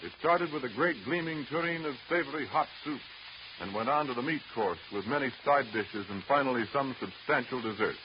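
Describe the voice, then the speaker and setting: A man announcing in a formal, polished 1930s radio style, with measured scripted delivery. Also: mid-range voice, expressive. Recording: dull, hissy old 1930s radio broadcast.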